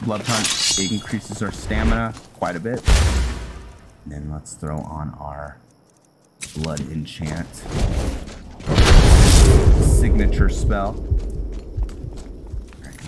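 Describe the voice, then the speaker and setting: A man's voice: low voice, takes it slowly, pauses often. A man doing casual game commentary, thinking aloud to himself in short steps as he plays through a roleplay. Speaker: gamer hosting a roleplay playthrough. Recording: loud music over the voice. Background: loud game music.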